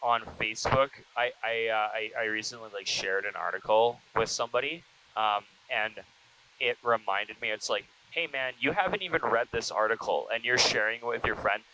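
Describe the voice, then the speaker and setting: A man hosting a casual interview, talking in a halting, rambling way with repeated false starts. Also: on the low side, bright, forceful tone, poor audio. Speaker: podcast host, interviewer. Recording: noticeable background noise.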